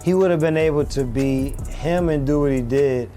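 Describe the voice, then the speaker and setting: A man giving sports analysis as a guest, measured, with repeated restarts. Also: on the high side, warm tone, a little hiss or hum.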